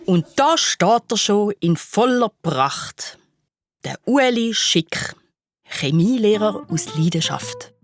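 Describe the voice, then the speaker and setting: A woman's voice: mid-range voice, very animated, slow. A woman playing a playful, confiding narrator of a children's detective story, with tongue-in-cheek asides. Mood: teasing. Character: wry, playful female storyteller of detective cases.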